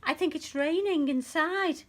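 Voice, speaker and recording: Woman doing a timid little mouse boy. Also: a little slow. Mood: pleading. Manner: high, pleading, anxious calling. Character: small timid young male mouse, scared of night noises.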